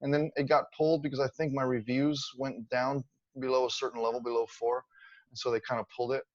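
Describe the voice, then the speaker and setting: A man's voice: medium pitch, little pitch movement, quick pace, dry recording, dull audio. A man in his fifties, a guest on a casual video-call interview, telling his story in a chatty, grateful way. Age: 50s. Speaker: interview guest, online seller.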